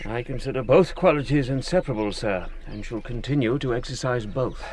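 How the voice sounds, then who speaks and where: An actor playing a proud, honourable British colonel with a formal, stern military manner in a period radio drama. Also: male voice, mid-range voice, fairly quick, a little mellow, close to an everyday voice. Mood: proud, defiant. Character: proud British colonel, bitter but honourable fort commander.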